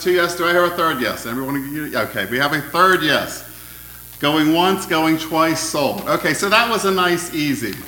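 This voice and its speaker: A man preaching an interactive sermon, asking his congregation questions in a loud, friendly voice. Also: on the high side, echoey room, low-quality recording. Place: church hall.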